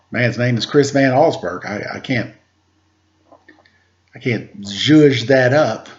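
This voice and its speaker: A man's voice: medium pitch, lo-fi sound. A man speaking in a playful, informal storytelling style for children, into a computer microphone. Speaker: storyteller, host reading picture books aloud. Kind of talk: casual chatty introduction and expressive read-aloud for children.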